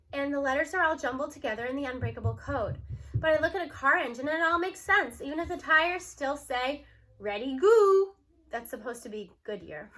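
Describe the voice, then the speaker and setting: Female children's audiobook reader: high pitch, bright tone, expressive first-person narration. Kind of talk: expressive read-aloud of a children's novel in a student's first-person voice.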